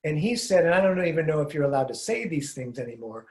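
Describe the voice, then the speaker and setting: An older man hosting a podcast, asking curious, rambling questions that often trail off, speaking into a studio mic on a boom arm while wearing headphones. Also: sing-song, fast, a little mellow, slight background noise. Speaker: podcast host. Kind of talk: casual conversational interview. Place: room at home with blinds, indoors. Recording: roomy echoey sound.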